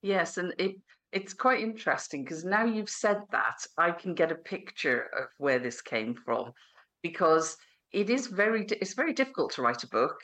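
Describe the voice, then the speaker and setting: A woman's voice: on the low side, big pitch swings, normal speed, fairly bright tone. A woman hosting a conversational interview, reflecting back on what guests say and asking affirming questions. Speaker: podcast host, interviewer.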